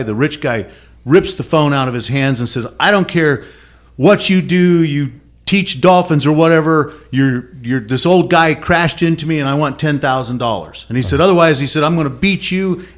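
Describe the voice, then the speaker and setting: A man on a casual podcast telling a long joke and acting out its quotes. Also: on the high side. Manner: storytelling with quoted voices.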